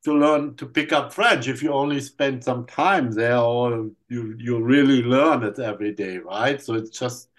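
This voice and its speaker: A man's voice: medium pitch, unhurried, few pauses. A man who grew up in Germany with German as his first language, co-hosting a podcast in a casual, explaining way. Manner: explaining, frequent "right?" and "I mean". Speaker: podcast co-host.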